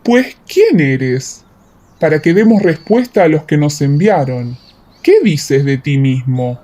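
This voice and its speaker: Man as probing priest, dark mellow tone, swinging intonation, insistent, scripture radio drama. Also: a little high, expressive. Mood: stern questioning. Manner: slow, probing, insistent questioning. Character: insistent male priestly envoy questioning a prophet. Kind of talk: Bible radio play acting.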